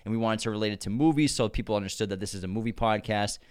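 A man's voice: lowish voice, rapid-fire, darkish tone, smooth, dry recording, clean studio sound. A man with a nasal voice from the United States, chatting energetically as a guest on a podcast. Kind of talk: casual chat.

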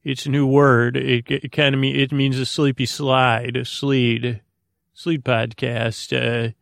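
Creaky-voiced man rambling softly for sleep. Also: level tone, no echo, clean studio sound. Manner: meandering, halting, repeats and trails off into tangents; droning, low-key. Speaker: podcast host. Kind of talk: rambling sleep podcast monologue.

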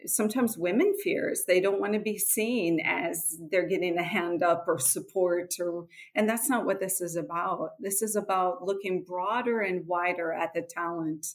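A middle-aged woman with an American accent, answering interview questions in an earnest, explanatory way. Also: on the low side. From Switzerland and USA. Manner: earnest, explanatory, occasional laughs. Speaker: guest, nonprofit executive director.

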